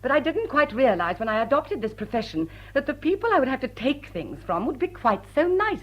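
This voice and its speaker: An actress playing a witty, genteel young society woman, polite and gently arch. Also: high-pitched, big pitch swings, fairly quick, lo-fi sound. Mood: hesitant, regretful. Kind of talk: stage drawing-room radio drama.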